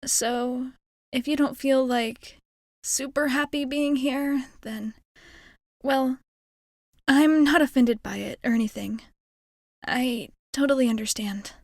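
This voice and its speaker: A woman's voice: high-pitched, slow, pauses often, a little mellow, no echo, studio quality. A woman playing a shy, kind young alien girl in an intimate ASMR roleplay, speaking in a hesitant, earnest, rambling way. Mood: wistful, reassuring.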